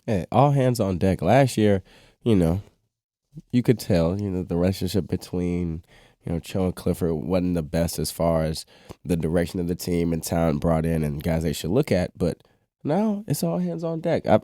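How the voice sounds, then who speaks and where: A man's voice: deep voice, fairly quick, dark tone, dry recording, clean studio sound. A man on a sports podcast panel, giving his take in casual conversation.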